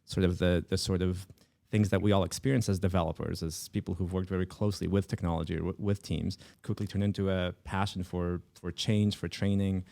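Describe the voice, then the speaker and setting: A man from Poland hosting an interview podcast, talking quickly with frequent restarts. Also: sits low, level tone, vocal fry, warm tone. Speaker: podcast host.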